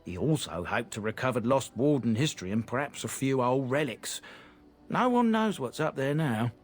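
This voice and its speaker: A man's voice: medium pitch, lively intonation, moderate pace, faint music behind, close to an everyday voice. A man voicing a proud, blunt merchant from a disgraced noble family in a video game. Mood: earnest. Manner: blunt, earnest, plain-spoken. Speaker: game character.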